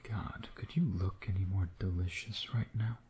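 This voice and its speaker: Man playing a low, mellow, teasing vampire. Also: steady tone, measured pace. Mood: flirtatious, smug. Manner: flirtatious, teasing, murmuring with breathy asides. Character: teasing, smug male vampire doting on his bitten prey. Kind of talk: intimate ASMR roleplay acting.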